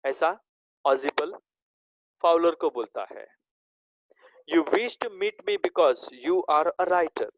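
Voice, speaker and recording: A male teacher with a slightly high voice leads a recorded lesson at a very unhurried pace. He stretches out the English lines he reads and pauses often to ask "okay?". The sound is dry and close, with no room echo. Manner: slow, drawn-out reading of English lines, then explains in Hindi; checks in with frequent "okay?". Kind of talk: recorded class lesson explaining a text.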